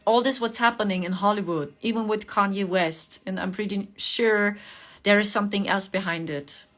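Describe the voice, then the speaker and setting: A woman's voice: mid-range voice, fairly bright tone. German-accented woman hosting a spiritual livestream. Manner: chatty, greets viewers, frequent laughter and fillers. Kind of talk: casual livestream chat.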